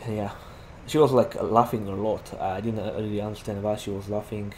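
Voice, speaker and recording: A man's voice: fairly low pitch, little pitch movement, a little fast, darkish tone, poor audio. A young man from Slovakia with a Slovak accent, chatting casually with many "like" fillers while commenting on his gameplay. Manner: rambling, chatty, heavy use of "like" fillers. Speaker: gaming YouTuber. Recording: game vehicle noise behind voice.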